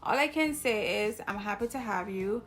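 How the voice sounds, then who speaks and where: A woman's voice: medium pitch, moderate pace, fairly bright tone, faint music behind. A woman from Jamaica with a Jamaican accent, talking casually to her viewers as a video host, rambling and interrupting herself. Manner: chatty, rambling, self-interrupting. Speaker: YouTube host. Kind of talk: casual talk to camera.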